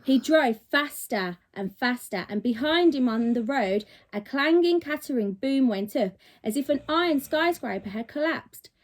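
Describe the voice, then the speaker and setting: A woman reading a children's storybook aloud in an animated, dramatic, teacherly way. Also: high-pitched, a little slow, few pauses. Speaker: primary school teacher.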